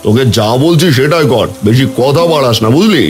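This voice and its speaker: A man's voice: medium pitch, lively intonation, brisk, close to an everyday voice. A man playing a kindly but authoritative village headman in a cartoon, firm and fatherly.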